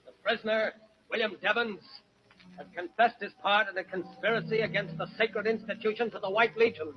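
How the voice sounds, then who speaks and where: Menacing masked-gang thug played by a man, raised pitch, rapid barked orders, muffled hissy vintage radio sound. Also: fairly even intonation, natural voice. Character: menacing vigilante gang henchman, barking orders. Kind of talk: old-time radio drama acting.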